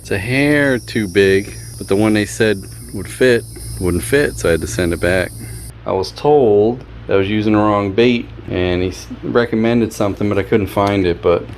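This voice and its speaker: A man's voice: on the low side, normal speed. A man giving casual, folksy vlog commentary on home repairs in short offhand remarks, recorded on a handheld camera. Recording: noisy handheld camera audio, background hum.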